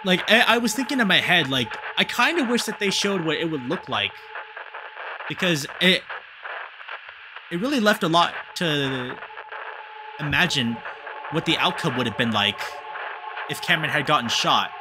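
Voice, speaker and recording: A man giving casual let's-play commentary as a streamer, thinking aloud in an animated, sweary way. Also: fairly high pitch, moderate pace, long pauses, low-quality recording. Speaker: streamer reading a visual novel aloud. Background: game music.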